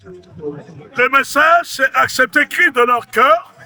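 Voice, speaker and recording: Male prophet-preacher, Central African French accent, emphatic sermon delivery, sharp voice. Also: very high-pitched, takes it slowly. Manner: emphatic, proclaiming, repeats phrases.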